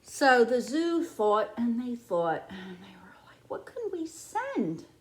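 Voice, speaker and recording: A woman reads a picture book to preschoolers in a fairly high voice. Her delivery is slow and very animated, with the exaggerated wonder of a teacher at storytime. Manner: expressive, exaggerated wonder for small children. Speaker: preschool teacher reading to class. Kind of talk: children's picture book read-aloud.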